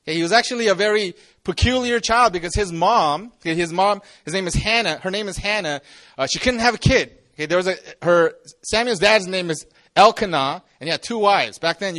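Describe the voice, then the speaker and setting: A man's voice: sits high, brisk, a bit bright. A man in his forties, a campus pastor, giving a casual, joking youth sermon to a live audience. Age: 40s. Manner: chatty, jokey, restarts often.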